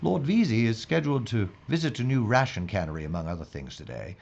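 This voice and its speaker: An actor playing an earnest, methodical police inspector in an audio drama, speaking formally and deliberately with slight hesitations. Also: male voice, lowish voice, big pitch swings, vocal fry, a little mellow, highs cut off, slight background noise. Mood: measured.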